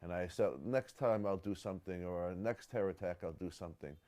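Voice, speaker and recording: Deep, dark-voiced earnest man. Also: fairly quick. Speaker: student. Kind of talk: interview answers.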